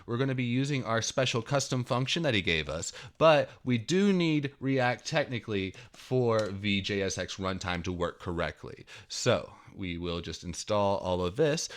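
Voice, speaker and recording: A man in his thirties presenting a programming tutorial, mixing expressive, emphatic reading aloud with casual walkthrough narration. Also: medium pitch. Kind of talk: dramatic reading of article mixed with casual screencast walkthrough.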